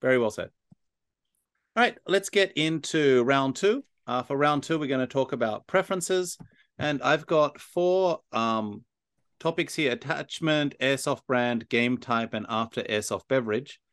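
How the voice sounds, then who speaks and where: A man's voice: mid-range voice, fairly bright tone, no room sound. Dry-sounding male podcast host. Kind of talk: casual interview hosting.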